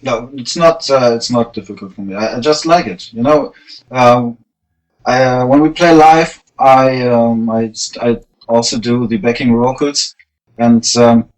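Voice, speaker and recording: Male bass player, mid-range voice, halting speaker. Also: poor audio. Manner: halting, searching for words. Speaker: band bassist. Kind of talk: casual interview.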